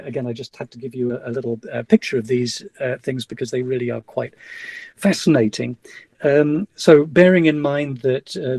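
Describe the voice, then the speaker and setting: Middle-aged male academic, mellow tone, hesitant delivery, dry webinar sound. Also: mid-range voice, no room sound. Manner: hesitant, many fillers and restarts. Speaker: guest lecturer. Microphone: video call.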